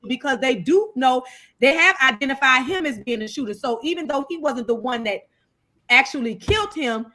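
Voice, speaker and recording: A woman's voice: sits high, lively intonation, moderate pace, bright, forceful tone. A woman panelist talking casually and explaining things in street slang with some swearing. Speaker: talk show panelist.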